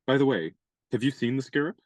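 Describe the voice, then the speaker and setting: A chivalrous young gentleman adventurer in a radio play, acted by a man, genial and fond of his own turns of phrase. He talks in courtly, elaborate sentences with a touch of mock pomp. The voice is dry and close, with little room sound. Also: medium pitch, expressive, quick pace, dry recording, natural voice.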